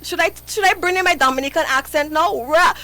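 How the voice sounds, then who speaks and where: High, bright-voiced woman. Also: a little fast. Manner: earnest, pleading, addresses host by name. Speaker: interview guest. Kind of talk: live radio interview.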